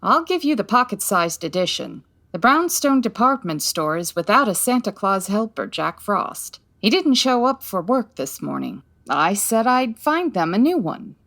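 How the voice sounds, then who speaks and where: Woman acting a lively, wry 1940s lady detective. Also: medium pitch, moderate pace, hardly any pauses. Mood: businesslike. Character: brisk, wry, no-nonsense 1940s female detective. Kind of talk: comedic old-time radio detective acting.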